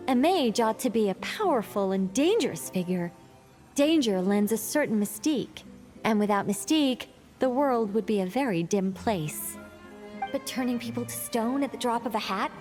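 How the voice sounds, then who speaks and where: A woman's voice: medium pitch, expressive, normal speed, darkish tone, soft background music, natural voice. An actress playing a theatrical, earnest young woman storyteller in a video game, formal in diction and fussy about her tale. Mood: musing.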